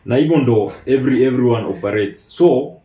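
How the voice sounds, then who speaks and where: Mellow Kenyan man in an echoey room. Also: medium pitch, fairly quick. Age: 20s. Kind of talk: casual debate, switching between Swahili slang and English.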